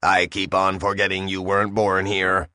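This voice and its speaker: A man reading the lines of a sly, confiding young woman in a calm, matter-of-fact way. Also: deep voice, normal speed, smooth, no room sound, studio quality.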